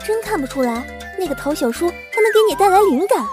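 A woman voicing an excitable, playfully teasing young fangirl in an audio drama, with a girlish delivery. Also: extremely high pitch, quick pace, music in the background. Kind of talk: Chinese audio drama acting.

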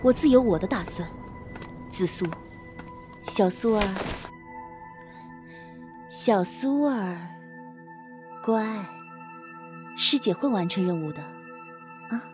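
A woman's voice: mid-range voice, lively intonation. A woman playing a bold, playful young female assassin who teases coquettishly in a Chinese period audio drama. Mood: composed, coaxing. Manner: coquettish, teasing, drawn-out. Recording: background music under the voice.

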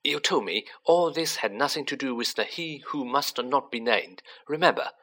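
A narrator voicing a plucky schoolboy from a storybook. He sounds earnest and modest, with a bright tone and lively pitch. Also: moderate pace. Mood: casual.

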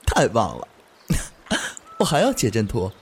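A man's voice: on the low side, huge pitch swings, measured pace, a little hiss or hum. An actor playing a cheerful, good-hearted young man who chats eagerly and laughs easily in an audio drama. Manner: bright, chatty, laughs easily.

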